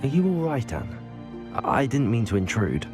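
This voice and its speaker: An actor playing a polite, curious young student wizard in a video game, courteous and measured. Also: male voice, medium pitch, very animated, darkish tone, soft background music, close to an everyday voice. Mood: friendly concern. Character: polite, curious teenage new student wizard, quietly hopeful.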